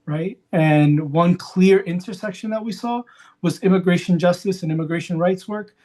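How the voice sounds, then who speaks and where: Male panelist from New York, slightly high voice, reflective, halting, unscripted organizer talk. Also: a little mellow. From Queens, New York, USA. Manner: reflective, halting, punctuated with "right?".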